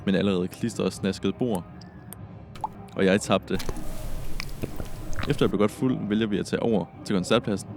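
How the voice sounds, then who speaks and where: A young man narrates his own story as a scripted podcast. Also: low voice. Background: music.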